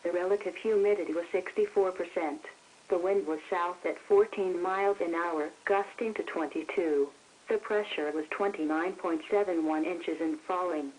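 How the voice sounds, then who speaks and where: A woman's voice: lowish voice, moderate pace, darkish tone. An American woman reading a scripted weather report on the radio in a formal, synthetic announcer delivery. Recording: radio broadcast with telephone-like tone.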